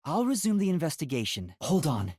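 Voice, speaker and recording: A man playing an anxious, earnest teenage boy detective in a video game, with a soft, youthful, pondering delivery. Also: a little high, huge pitch swings, brisk, breathy edge, exaggerated voice. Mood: worried. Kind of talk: video game character acting, often thinking aloud.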